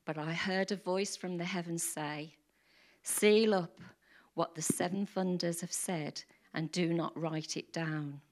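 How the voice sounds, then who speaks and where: A woman with a slightly croaky voice reads scripture aloud to the congregation. Her voice sits a little low, and she reads slowly and carefully, giving each line its own measured weight. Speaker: congregation member reading scripture.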